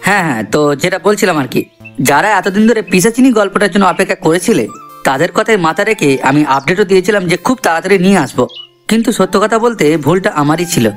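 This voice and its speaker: A man's voice: high-pitched, animated, fast talker. A man voicing an earnest, chatty cartoon character, explaining things to viewers. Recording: voice over background music.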